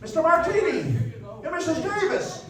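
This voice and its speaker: A man's voice: very high-pitched, moderate pace, crowd noise. A man playing an overjoyed, stammering family man in a live stage radio play. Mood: overwhelmed, grateful. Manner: exuberant, stammering, exclaiming. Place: live performance in a dance hall. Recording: distant, echoey dance hall with audience.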